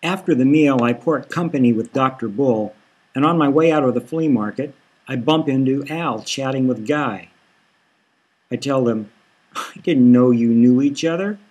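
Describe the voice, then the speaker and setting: A man's voice: mid-range voice, normal speed, a little mellow, slightly rough, poor audio. A man reading his own satirical fiction aloud as a scripted narration. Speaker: author reading own novel.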